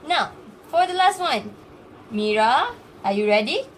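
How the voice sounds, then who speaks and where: High-voiced Malaysian woman teaching, noisy recording. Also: expressive, unhurried. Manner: encouraging, frequently praising and prompting. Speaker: teacher in a student-made classroom skit. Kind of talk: acted classroom lesson, explaining and questioning.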